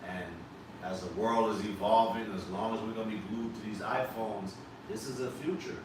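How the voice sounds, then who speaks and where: A man's voice: fairly low pitch, normal speed. Emphatic man hosting in an echoey room. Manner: emphatic, animated, swears casually. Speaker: podcast host. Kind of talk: casual interview chat. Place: live podcast set on a couch. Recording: echoey room, distant mic, background noise.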